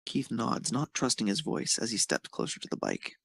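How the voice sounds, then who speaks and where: A man's voice: medium pitch, wildly swooping pitch, moderate pace, gravelly, fairly bright tone, breathy edge, no room sound. A man reading scripted narration of a romantic fan fiction story.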